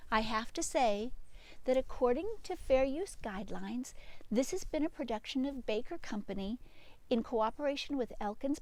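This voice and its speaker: A woman's voice: on the high side, a little mellow, poor audio. A woman hosting a children's storytime, reading aloud and speaking directly to young listeners with questions and asides. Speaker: storytime reader and channel host.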